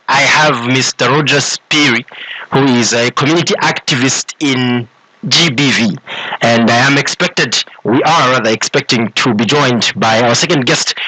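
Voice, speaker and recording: A man with a Zambian accent presenting a radio discussion show in halting, deliberate phrases. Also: medium pitch, bright, forceful tone, husky, poor audio. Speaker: radio host.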